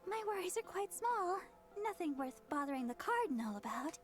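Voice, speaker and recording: A very high, girlish young game deaconess, shy and sweet. She speaks in a hesitant, flustered stammer over prominent background music. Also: sing-song, normal speed. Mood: flustered, polite. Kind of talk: video game voice acting.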